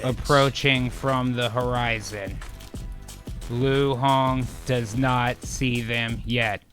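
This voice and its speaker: A man's voice: mid-range voice, fairly even intonation, extremely slow, a bit bright, soft background music. A man narrating an improvised radio drama as host, theatrical and joking with casual asides.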